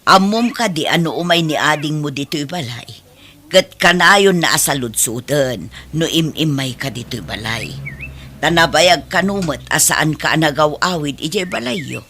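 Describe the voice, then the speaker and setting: An actress playing a stern middle-aged aunt who lectures in an admonishing way, in radio drama style. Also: female voice, low-pitched, expressive, moderate pace, pushed and bright, exaggerated voice. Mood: scolding. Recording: faint background noise.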